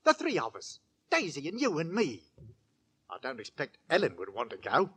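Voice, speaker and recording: Husky, excitable London father. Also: on the high side, exaggerated voice. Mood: eager. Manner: halting, stammering eagerness. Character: excitable, inquisitive working-class London father, folksy and henpecked. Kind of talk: 1940s radio acting. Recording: vintage 1940s radio recording.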